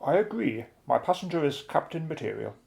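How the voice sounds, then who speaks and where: An actor playing a dashing, unflappable gentleman inventor and pilot with a jaunty, old-fashioned chummy manner in a radio adventure. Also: on the high side, big pitch swings, a little fast, vocal fry, heavily acted. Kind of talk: radio adventure drama acting.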